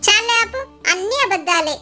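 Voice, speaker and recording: Casting: a tiny excitable cartoon kid, extremely high voice, singsong teasing, music behind. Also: female voice, moderate pace. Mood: bickering, boastful. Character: squabbling young children, playful brother and sister. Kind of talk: children's cartoon story acting.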